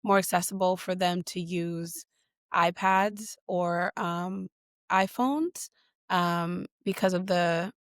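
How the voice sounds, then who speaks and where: A woman from Boston, a guest in an interview, answering in a conversational way with many pauses, fillers and restarts. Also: on the low side, steady tone, no echo, studio quality. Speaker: guest, digital equity leader.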